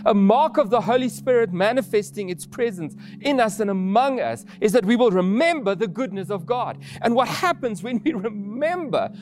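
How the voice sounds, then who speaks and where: A man whose first language is Afrikaans, preaching an earnest sermon with restarts and soft laughs. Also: high voice.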